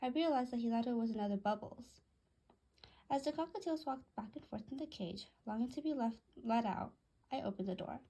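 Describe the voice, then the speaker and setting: A woman narrates a read-aloud story in a high, soft-toned voice with noticeable creak. It is a little husky, and she now and then stumbles and corrects a word. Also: animated, brisk, rough recording. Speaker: author reading own story aloud.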